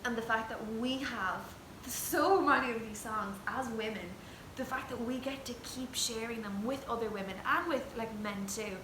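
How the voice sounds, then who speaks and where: A woman's voice: a little high, moderate pace, few pauses. A young Irish woman, a traditional singer, chatting earnestly with lots of fillers in an interview. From Ireland. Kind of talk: casual chat. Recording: echoey room with background noise.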